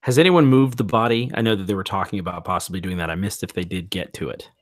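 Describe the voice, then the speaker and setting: A man playing a tabletop roleplay game, thinking aloud in a deliberate, musing way. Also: low voice, dry recording, clean studio sound.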